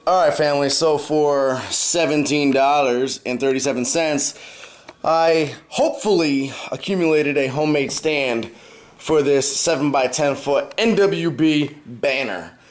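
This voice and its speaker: A man's voice: fairly high pitch, unhurried. A young man vlogging casually to his viewers, stuffed up from a cold and partly losing his voice, explaining things step by step. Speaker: fitness vlogger. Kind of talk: casual vlog talk to camera.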